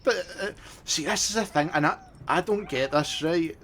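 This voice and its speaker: A man with a Scottish accent in casual co-host chat, sweary and halting, often repeating his words. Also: on the high side, fairly bright tone. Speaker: podcast and stream co-host. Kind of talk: casual chat during a gaming stream.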